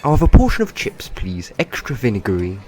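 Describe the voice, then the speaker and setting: An actor playing a plain-spoken, questioning man in an audio drama, direct and expressive. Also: lowish voice, fairly quick. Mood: casual. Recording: clear background noise on recording.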